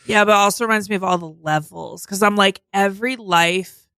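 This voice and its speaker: A woman's voice: mid-range voice, steady tone, fairly quick, a bit bright, smooth, dry recording. A woman in her mid-thirties, a guest on a studio podcast, talking candidly with lots of "like" and "um". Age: 30s. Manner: candid and chatty, with frequent "like" and "um". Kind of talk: casual chat.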